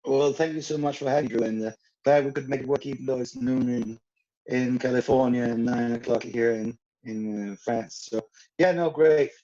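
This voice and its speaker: A man's voice: medium pitch, fairly even intonation, normal speed, dark tone, a little hiss or hum. A middle-aged man from Denmark, chatting casually as a guest on a video call, rambling with many fillers and restarts. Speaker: guest, rum brand owner. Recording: dull lo-fi video call audio.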